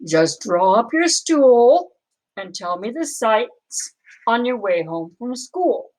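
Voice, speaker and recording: A calm but stern father from a children's storybook, acted out by a woman reading aloud. The lines come slowly and probingly, in a measured way, in a somewhat high register. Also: exaggerated voice, rough recording. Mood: calm, inviting.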